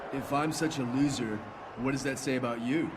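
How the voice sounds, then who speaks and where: Man as a defiant rookie champion, middle pitch. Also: moderate pace, lightly acted. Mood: mocking defiance. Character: determined, defiant young rookie champion wrestler in a video game. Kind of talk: video game cutscene acting. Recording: clearly noisy background ambience.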